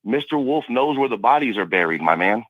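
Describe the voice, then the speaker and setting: A man's voice: medium pitch, lively intonation, a little fast, no echo. A man calling a radio show over a narrow phone line, explaining his argument conversationally.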